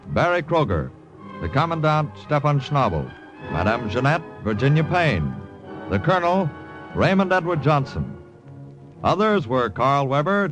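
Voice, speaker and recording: A man reads the credits and promos of an old radio show in a brisk, formal way. His pitch moves a lot, and faint music plays behind him. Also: rough recording. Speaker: radio announcer.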